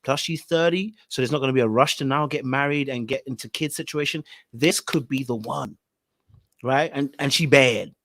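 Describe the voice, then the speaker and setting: A young man hosting a podcast, talking through a dating show in a relaxed, chatty way and laughing easily. He is from Ghana, and his voice sits in a middle range. The sound is close and dry. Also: mid-range voice, normal speed, dry recording. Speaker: podcast host. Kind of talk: casual reality-show discussion.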